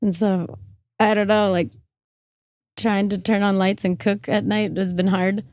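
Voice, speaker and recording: A young woman talks easily as a podcast guest, her voice a little hoarse and rough at the edges. She sits in a middle register and fills her sentences with loose fillers, often letting them trail off. The sound is dry and close, with little room around it. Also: mid-range voice, little pitch movement, a little slow, no room sound. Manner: chatty, with frequent fillers and soft trailing off. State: slightly hoarse from karaoke and yelling. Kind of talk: casual interview chat. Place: van-life festival in the desert.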